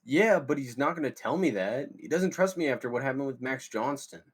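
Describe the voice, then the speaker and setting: A man's voice: mid-range voice, expressive, a little fast, husky. A man playing an eager, scheming young stoner slacker in a comedy table read, with casual, slangy delivery. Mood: tense.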